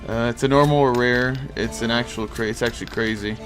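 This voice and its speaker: Male streamer, Portuguese accent, laid-back chatty host, moderate pitch, faint background music. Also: fairly even intonation, brisk. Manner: easygoing, chatty, reacts to chat. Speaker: streamer hosting a card game tournament. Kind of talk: casual live-stream chat reading viewer comments.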